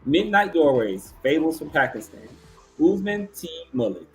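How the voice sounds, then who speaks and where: A man's voice: a little high, very animated, normal speed, creaky, soft background music, rough recording. A man hosting an awards ceremony, announcing categories and finalists and reading out remarks.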